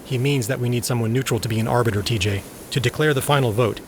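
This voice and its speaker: An actor playing an earnest, troubled group leader in an audio drama, speaking emphatically. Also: male voice, mid-range voice, quick pace, close to an everyday voice. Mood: troubled, decisive. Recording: faint background noise.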